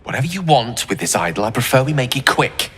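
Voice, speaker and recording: An actor playing a wary, eloquent wizard in a fantasy game, speaking formally with dry wit. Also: male voice, medium pitch, big pitch swings, quick pace, fairly bright tone, slightly rough, long reverb tail. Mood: guarded, wry. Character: wry, wary, eloquent male wizard companion.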